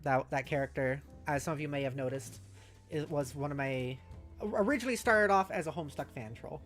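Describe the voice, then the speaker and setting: A woman streamer chatting casually with viewers, giggly and halting as she thinks aloud and reads out messages. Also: low-pitched. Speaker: streamer, host. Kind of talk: casual livestream chat, reading out viewer messages. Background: music.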